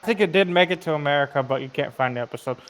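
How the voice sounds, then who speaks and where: A man's voice: on the high side, racing, fairly bright tone, rough recording. A man chatting casually on a group voice call, blunt and given to crude joking. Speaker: podcast participant. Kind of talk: casual chat. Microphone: online group voice call.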